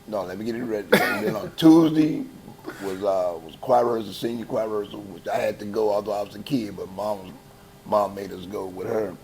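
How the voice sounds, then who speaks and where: An older man from Chicago with a heavy Southern-sounding accent, a gospel choir founder telling stories in a relaxed, laughing, folksy way. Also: lowish voice, fairly quick, a crowd in the background, rough recording. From Chicago, West Side. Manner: easygoing, laughing, folksy storytelling. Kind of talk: relaxed interview conversation.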